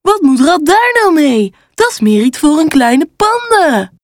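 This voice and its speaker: A woman's voice: high voice, very animated, measured pace, no room sound, clean studio sound, voice pushed up, full character voice. A woman voicing a plucky, playful little female pug dog in a children's storybook, with a frank manner.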